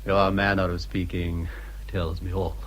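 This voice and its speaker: An actor playing a suave, flattering English gentleman in a period radio drama, formal and courtly. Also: sits low, a little slow. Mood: courteous, charming. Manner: courtly, smooth-talking, formal.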